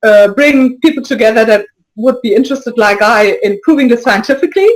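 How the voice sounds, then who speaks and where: A woman speaking as an interview guest in casual talk, halting, with many restarts and soft laughs. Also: on the high side, low-quality recording. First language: not French. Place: lives in Brussels area.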